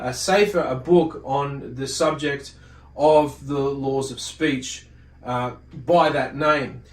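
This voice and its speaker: Higher-voiced man teaching in an echoey room. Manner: halting, deliberate, rambling with self-corrections. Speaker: religious teacher. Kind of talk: informal religious lecture, reading and explaining from a book. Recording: roomy echo, distant microphone.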